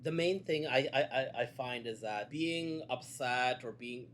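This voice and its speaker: Guy chatting as a guest, mid pitch, lots of uhs and pauses, steady calm delivery, bit of faint hiss behind. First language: Cantonese. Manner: measured, hesitant with many fillers. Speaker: guest, events and media producer. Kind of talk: casual conversation. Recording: faint background noise.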